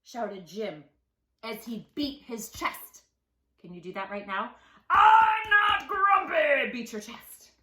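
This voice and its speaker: A woman hosting a read-aloud for young children, expressive and emphatic, speaking straight to the listener. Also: mid-range voice, takes it slowly, roomy sound. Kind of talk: animated picture-book reading for young children.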